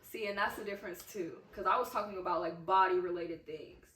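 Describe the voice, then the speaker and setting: Young woman co-host, soft and candid, filler-heavy; recorded off-mic in an echoey room. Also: fairly low pitch, fairly quick, far from the mic. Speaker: podcast co-host. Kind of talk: casual chat.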